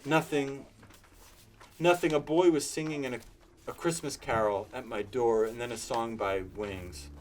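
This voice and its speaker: A man reading aloud and voicing an old miser who is excited and tearful, caught between laughing and crying. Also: mid-range voice, a little slow, a crowd in the background, natural voice. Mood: rueful.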